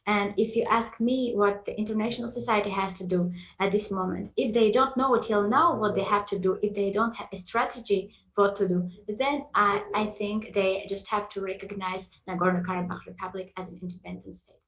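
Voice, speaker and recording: A woman's voice: mid-range voice, fairly even intonation, brisk, hardly any pauses, fairly bright tone, dull audio. A woman from Artsakh with an Armenian accent, speaking as a panelist in an online discussion, hesitant and apologetic, with many fillers and restarts. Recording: echoey room.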